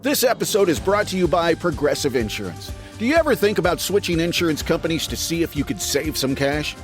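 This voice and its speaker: A man's voice: a little high, very animated, brisk, over music. A man reading a scripted advertisement as an announcer.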